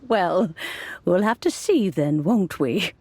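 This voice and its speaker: An actress voicing an elderly, fond grandmotherly mentor in a game cutscene who talks gently and unhurriedly. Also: lowish voice, expressive, breathy edge, exaggerated voice. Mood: serene fond. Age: older. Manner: gentle, unhurried, softly laughing. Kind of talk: video game cutscene voice acting.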